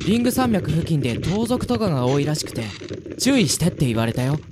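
A woman's voice: deep voice, very animated, brisk, noisy background. A woman playing a polite, sensible younger brother, an earnest young mage who chides his elder, in a Japanese fantasy radio drama. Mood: businesslike.

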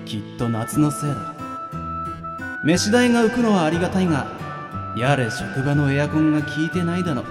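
Guy playing tired office worker monologue, higher male voice, big reverb, music behind. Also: lively intonation, moderate pace, lightly acted. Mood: resigned. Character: world-weary male office worker narrating inner thoughts. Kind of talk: radio drama monologue narration.

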